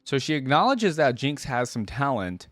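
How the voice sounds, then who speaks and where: A man hosting a reaction video, reading aloud and thinking out loud in a casual, conversational way. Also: mid-range voice, big pitch swings, moderate pace, no echo, studio quality.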